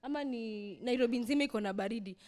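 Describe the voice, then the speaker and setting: Woman, Kenyan accent, comedy host, teasing, playful, high voice. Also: quick pace, a little mellow. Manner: teasing, playful roasting of guests. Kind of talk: stand-up compering. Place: comedy club stage.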